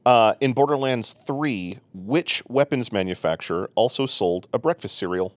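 A man's voice: medium pitch, lively intonation, moderate pace, dry recording. A man hosting a casual podcast, running the chat with playful, jokey asides. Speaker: podcast host.

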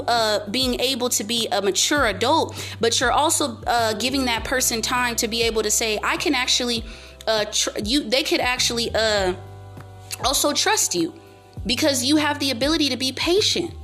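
A young woman vlogger giving motivational life advice in a loud, emphatic, repetitive way. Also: fairly high pitch. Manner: emphatic, preachy, repeats herself, speaks up loudly. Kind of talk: casual motivational monologue to camera.

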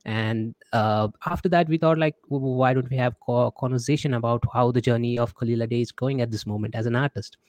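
A man's voice: medium pitch, fairly quick, few pauses, darkish tone, no echo. Man, indian accent, interview host, chatty, repeats himself, dry close sound. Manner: chatty, repeats words, acts out quoted messages. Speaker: podcast host. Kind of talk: casual interview chat.

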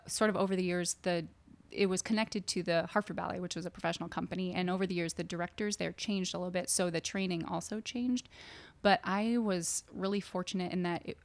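Woman, from the East Coast, lowish voice, chatty, laughing, guest on a podcast. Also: dry recording. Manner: chatty, laughing, trails off and restarts. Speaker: guest choreographer. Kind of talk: casual chat.

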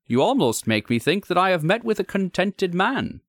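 Man playing a composed, inquisitive gentleman visitor. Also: high-pitched, very animated, moderate pace, no echo, clean studio sound, voice pushed up, heavily acted. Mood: curious, earnest. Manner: polite, inquisitive. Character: curious, composed gentleman visitor, politely probing and reassuring.

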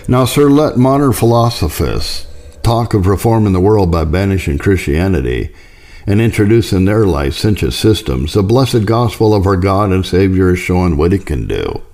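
A man with a very low, mellow voice reads a historical religious letter aloud. His delivery is formal and measured, with a solemn tone and lively rises and falls in pitch. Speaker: audiobook reader.

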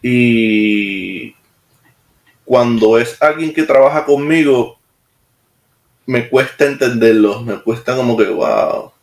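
A man's voice: medium pitch, slow pace, creaky, long pauses. A man from Puerto Rico with a Puerto Rican Spanish accent, talking as an interview guest in a direct, plain-spoken way with hesitant restarts. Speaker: military musician, interview guest. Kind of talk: casual interview talk. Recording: echoey room.

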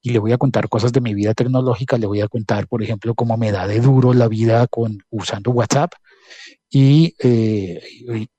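Male former broadcaster, husky and dry-sounding, reflective and hesitant. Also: medium pitch, mellow, no echo. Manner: hesitant, self-aware, storytelling. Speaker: panel guest, former broadcaster. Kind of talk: reflective panel talk.

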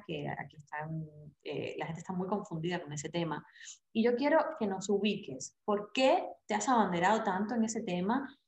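A woman's voice: medium pitch, darkish tone. A woman hosting an interview, asking questions in a casual, admiring way.

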